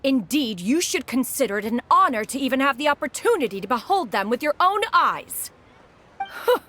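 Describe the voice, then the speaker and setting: A woman's voice: sits high, big pitch swings, normal speed, sharp tone, heavily acted. A woman voicing a proud ancient crane spirit in a video game, speaking in a stately, archaic and haughty way. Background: game music.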